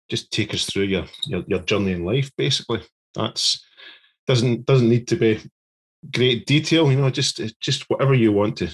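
A man's voice: lowish voice, breathy edge. A man co-hosting an investor podcast, chatty and stumbling over repeated words. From Scotland. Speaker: podcast co-host. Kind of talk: casual podcast chat.

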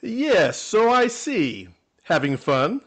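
A man's voice: sits high, very animated, slow, full character voice. A man playing a suspicious, bossy policeman with a sardonic manner in an old-time radio comedy. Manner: sardonic, commanding.

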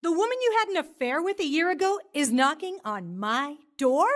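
A woman's voice: high voice, animated, moderate pace, dry recording. An actress narrating and playing a troubled young mother in a radio drama, close to tears and emotional. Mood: upset. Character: troubled young mother leaving her husband. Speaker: narrator and lead character.

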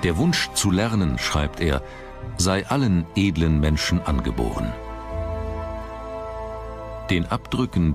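A man with a very deep voice narrates a documentary. His delivery is scripted, measured and built for dramatic storytelling. Music plays clearly behind him.

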